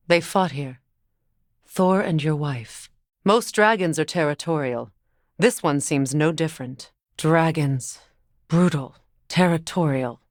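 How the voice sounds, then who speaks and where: A woman playing a composed, grave goddess and warrior companion in a video game, speaking in measured, clipped remarks. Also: deep voice, dry recording, studio quality. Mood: wary, measured.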